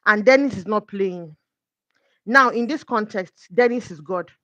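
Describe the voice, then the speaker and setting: A woman's voice: mid-range voice, unhurried, pushed and bright, dry recording, dull audio. A woman speaking as a guest coach in a conversational faith talk, explaining her points earnestly through examples.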